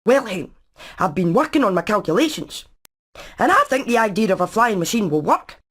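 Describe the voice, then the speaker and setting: Brainy hen engineer for a cartoon game, mid pitch, explains stuff step by step. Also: female voice, big pitch swings, moderate pace, fairly bright tone, breathy edge. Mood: methodical, keen. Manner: methodical, matter-of-fact. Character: practical, clever female engineer hen explaining plans. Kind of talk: animated video game voice acting.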